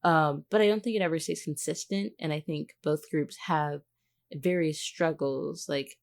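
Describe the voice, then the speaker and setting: Very low-pitched woman in casual discussion. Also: moderate pace, vocal fry, a little mellow. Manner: informal, reflective, hedging with frequent 'like'. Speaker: voice actor, podcast guest. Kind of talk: casual analytical podcast chat.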